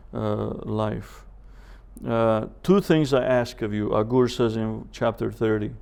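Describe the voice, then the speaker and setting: A man teaching a Bible seminar as a professor, speaking in a measured way with deliberate pauses, scripture quotations and rhetorical questions. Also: medium pitch, gravelly, a little mellow, clean tone.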